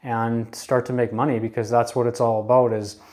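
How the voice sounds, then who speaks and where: Mellow-voiced man in his thirties giving advice. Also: medium pitch, little pitch movement, brisk. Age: 30s. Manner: earnest, repetitive, coaching tone. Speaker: advice vlogger. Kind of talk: casual advice talk to camera.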